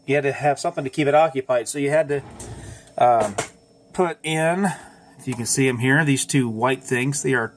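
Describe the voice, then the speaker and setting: A man talks through his repair of an old tube radio at an easy pace, stopping often for ums and uhs and fixing his own words as he goes. His breathing has a slight wheeze from asthma. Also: medium pitch. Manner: halting, many ums and uhs, corrects himself. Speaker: amateur radio operator restoring an old radio. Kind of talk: casual how-to explainer.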